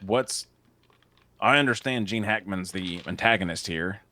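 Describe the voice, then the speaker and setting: A man co-hosting a casual movie podcast, excitable and joking, often cutting in mid-sentence. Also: on the low side, a little slow. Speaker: podcast co-host.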